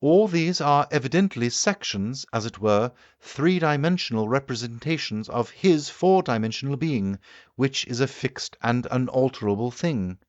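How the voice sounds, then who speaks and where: A man voicing an eager, assured Victorian gentleman inventor lecturing his guests in an emphatic, declamatory way, as in an audiobook. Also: medium pitch, animated, moderate pace, dry recording, muffled recording, pitched above the performer's own voice, natural voice. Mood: confident lecturing. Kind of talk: audiobook character acting.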